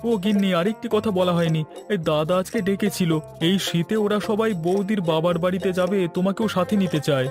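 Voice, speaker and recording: A man voicing an affectionate, easygoing young village husband in an animated moral story. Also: sits high, moderate pace, natural voice. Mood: coaxing. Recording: music bed behind dialogue.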